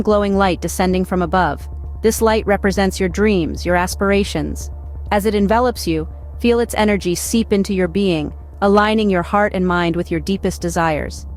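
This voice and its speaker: A woman's voice: mid-range voice, a little mellow. Woman narrating guided meditation over music. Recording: background music behind voice.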